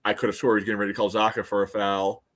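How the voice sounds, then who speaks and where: Lower-voiced American man, casual analysis. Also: little pitch movement, very fast, a bit bright. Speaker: sports podcast co-host. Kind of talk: casual soccer match analysis.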